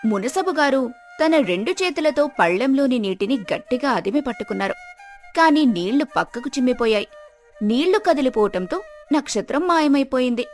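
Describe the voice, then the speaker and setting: High-voiced woman narrating a story. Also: expressive, fairly quick, faint music behind. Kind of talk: scripted children's story narration.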